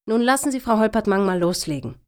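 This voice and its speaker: An actress playing a well-meaning, slightly slow woman panelist in a satirical radio play. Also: mid-range voice, lively intonation, darkish tone, natural voice. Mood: puzzled.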